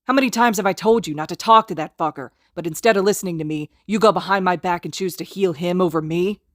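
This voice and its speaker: A woman's voice: sits low, steady tone, fast talker, no room sound, studio quality. A woman reading a fanfic aloud, voicing a furious, domineering teenage boy who snarls and curses. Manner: snarling, cursing, shouting.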